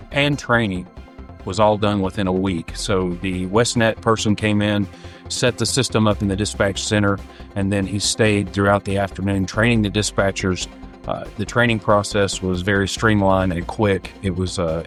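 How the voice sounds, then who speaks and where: A man speaking as a fire department official in an interview testimonial, explaining things in a plainspoken, matter-of-fact way. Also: lowish voice. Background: background music.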